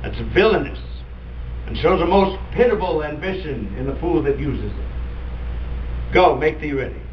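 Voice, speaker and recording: A man's voice: a little high, unhurried, close to an everyday voice. A man performing an intense Danish prince in a classical stage monologue, declaiming formally and emphatically to a troupe of players. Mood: emphatic, commanding. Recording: distant muffled mic, background noise.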